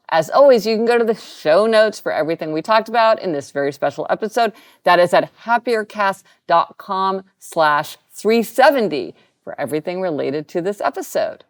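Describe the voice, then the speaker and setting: Upbeat woman podcast co-host, lowish voice. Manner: upbeat, exclaiming, reads out links and resources. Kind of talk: casual podcast chat.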